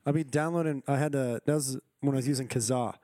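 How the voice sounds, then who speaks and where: A man's voice: medium pitch, steady tone, fast talker, dark tone, no echo, studio quality. A man co-hosting a podcast in casual banter, rambling and cracking dirty jokes. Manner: rambling, dirty-joking, says "you know what I'm saying". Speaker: podcast co-host and audio engineer.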